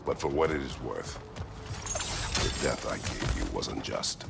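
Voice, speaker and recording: An actor playing a grave, remorseful, battle-worn warrior god in a video game, speaking solemnly and formally. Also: male voice, extremely low pitch, lively intonation, a little slow, creaky, heavily acted. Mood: solemn regret. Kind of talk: dramatic video game acting. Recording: loud music behind the voice.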